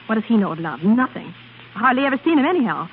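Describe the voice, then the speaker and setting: A woman's voice: on the high side, sing-song, racing, husky. A woman playing a temperamental, bored opera singer who longs for romance, in theatrical 1930s radio style. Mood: scornful. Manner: theatrical, petulant, impulsive. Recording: scratchy, narrow-band 1930s radio recording.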